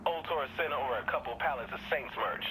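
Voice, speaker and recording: An actor voicing a brash gang member in video game dialogue, talking quick and scheming. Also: mid-range voice, background noise, natural voice. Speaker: game character.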